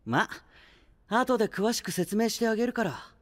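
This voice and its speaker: An actor playing a calm, clever young male knight in an anime-style voice drama, cool, confident and lightly mocking. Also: high-pitched, normal speed, dry recording. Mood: confident, explaining.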